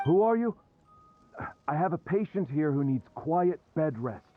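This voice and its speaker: Muffled, guarded doctor. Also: male voice, fairly high pitch, expressive, unhurried, no echo, soft background music. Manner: formal, protective. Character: guarded, curt male doctor. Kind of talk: video game voice acting. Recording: thin, muffled band-limited sound.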